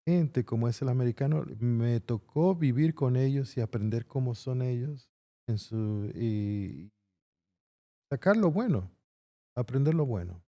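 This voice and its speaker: A middle-aged man from Peru, a guest answering interview questions in a plain, unhurried way. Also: medium pitch, expressive, warm tone. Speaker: guest, construction entrepreneur. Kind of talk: casual interview conversation.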